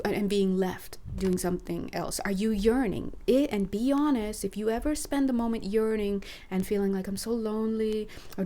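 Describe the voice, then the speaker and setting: A hoarse woman giving a rambling, earnest spiritual talk, putting direct questions to her listeners. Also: medium pitch, mellow. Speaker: spiritual reader. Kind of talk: casual spiritual talk to camera.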